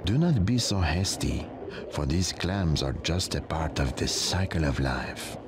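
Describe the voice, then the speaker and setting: A man voicing a solemn, wise guide in a cartoon game, formal, measured and instructive. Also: a bass voice, animated, noisy background. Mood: encouraging, solemn. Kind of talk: game tutorial voice acting.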